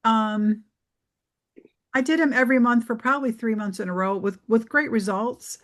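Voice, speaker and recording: Brief: woman, team member sharing her experience on a call, relaxed and direct. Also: on the high side, moderate pace. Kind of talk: casual chat on a video call.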